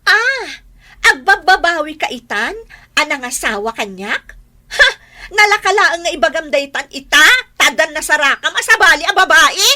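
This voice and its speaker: Shrill, jealous Filipino wife. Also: female voice, animated, normal speed, bright, forceful tone, full character voice. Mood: mocking, jealous. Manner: shrill, taunting, scolding. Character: fiery, spiteful, jealous young wife. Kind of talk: Filipino radio drama acting.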